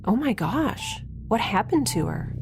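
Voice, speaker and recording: A woman's voice: medium pitch, very animated, a little slow, gravelly, background noise. A woman playing a harried young space scientist in a sci-fi audio drama, speaking informally with wry, hesitant urgency. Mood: flustered, urgent.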